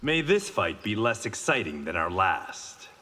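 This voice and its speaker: A wry, proud warrior of a fighting game, with a low voice that swings expressively. His lines are terse and guarded, set in a long hall reverb. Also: very animated, unhurried, heavy background noise. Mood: dry, guarded. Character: proud, wry blind swordsman, former gangster. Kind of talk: video game fight banter.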